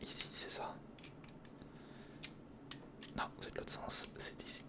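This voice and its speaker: A man whispering in a breathy, crackly voice, casually murmuring a step-by-step ASMR commentary as he thinks aloud. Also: slow. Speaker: ASMR video creator.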